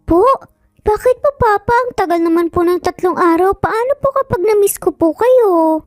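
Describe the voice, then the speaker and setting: A woman's voice: very high-pitched, normal speed, a little mellow, no room sound, clean studio sound, faint music behind, voice pushed up. A woman voicing a sweet, earnest small child with polite, pleading manners in a dramatised story. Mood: pouting, disappointed.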